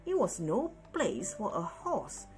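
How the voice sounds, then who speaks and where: A woman reading a children's picture book aloud in an expressive storytelling way. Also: mid-range voice. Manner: deliberate, animated storytelling. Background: music.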